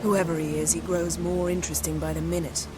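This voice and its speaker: An actress playing a self-assured, imperious sorceress in a video game, composed and curt. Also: female voice, lowish voice, level tone, a little fast, very noisy, lightly acted. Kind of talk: video game cutscene acting. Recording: loud music and effects behind.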